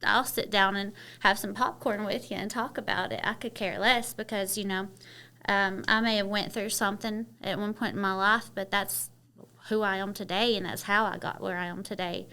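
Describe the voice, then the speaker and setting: A woman's voice: mid-range voice, fairly even intonation, moderate pace, pushed and bright, clear, resonant tone. A woman from Kentucky with a Southern twang, chatting openly as a podcast guest and using many "you know" fillers. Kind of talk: casual interview chat.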